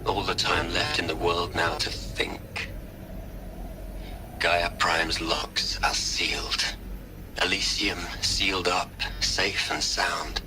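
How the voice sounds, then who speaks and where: An actor playing a bitter, grieving older scientist, speaking ruefully and deliberately in a video game audio log. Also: male voice, low voice, little pitch movement, background noise. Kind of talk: scripted audio log monologue. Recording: music behind the voice. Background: music.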